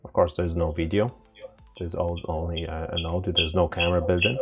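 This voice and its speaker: Deep, gravelly man explaining step by step. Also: flat delivery, brisk, highs cut off, soft background music. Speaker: technical instructor. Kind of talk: unscripted step-by-step software tutorial.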